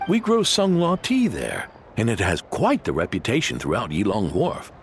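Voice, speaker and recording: A man's voice: on the low side, wildly swooping pitch, moderate pace, a little hiss or hum. A man voicing a genial, devout old guesthouse owner in an anime game, hearty, emphatic and hospitable. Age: older.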